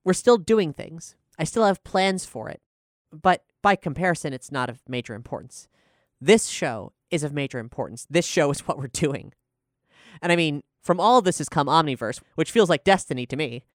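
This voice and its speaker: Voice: mid-range voice, lively intonation, normal speed, no room sound, clean studio sound. A podcast host and showrunner speaking earnestly and articulately while making announcements and talking about a production.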